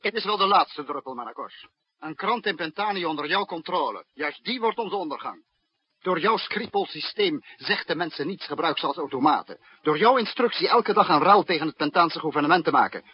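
An actor playing a stern, menacing head of state in a 1960s radio drama, with a husky voice. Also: male voice, a little high, animated, a little fast, no room sound. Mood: angry, accusing. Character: stern, threatening male head of an enemy state. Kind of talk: 1960s Dutch radio play acting. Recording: dull, dry 1960s radio recording.

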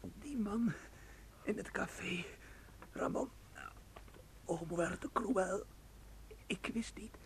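Creaky, intense, hot-blooded young man. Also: sits high, full character voice. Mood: dying, weak. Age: 20s. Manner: intense, dramatic, halting. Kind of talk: Dutch radio play acting.

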